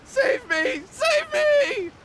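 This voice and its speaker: A man's voice: extremely high pitch, big pitch swings, drawn-out words, shouting, noisy background, heavily acted. A man playing a timid, remorseful young man in a video game, fretful and pleading. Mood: terrified.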